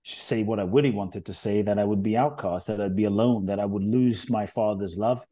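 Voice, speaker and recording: Inspirational speaker, male, middle-aged: warm dark tone, reflective storytelling that leans hard on key phrases. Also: on the low side. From Ghana, raised in London. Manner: earnest, measured, builds emphasis on key phrases.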